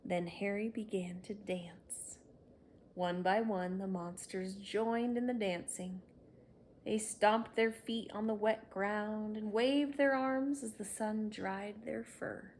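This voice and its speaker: A woman's voice: mid-range voice, steady tone, slow, darkish tone, slight background noise. A woman reading a children's story aloud, narrating expressively and without hurry. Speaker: storybook reader.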